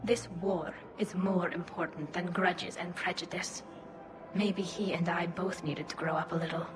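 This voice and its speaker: An actress playing a gentle, earnest young alien refugee in a video game, speaking in a measured, reflective way over music. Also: female voice, lowish voice, fairly even intonation, close to an everyday voice. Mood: reflective, forgiving.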